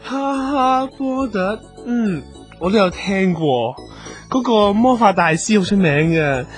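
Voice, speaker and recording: Boyish besotted gentleman, animated and naive, Hong Kong Cantonese, radio comedy over faint music. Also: high-pitched, takes it slowly. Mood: earnest, rambling. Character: excitable boyish gentleman besotted with a bossy magic girl. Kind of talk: radio comedy drama acting.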